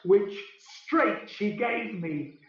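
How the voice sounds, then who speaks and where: An actor playing a lordly, scheming fairy king who declaims Shakespeare verse in a measured, stately way for radio. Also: sits high. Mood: commanding, satisfied. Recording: very echoey, distant, dull sound.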